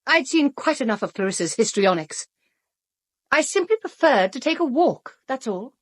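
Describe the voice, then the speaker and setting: An actress playing a haughty, defensive, sharp-tongued suspect in a radio play, with an arch, prickly manner. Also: female voice, high-pitched, sing-song, moderate pace, slightly rough, no echo, studio quality, heavily acted. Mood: defiant.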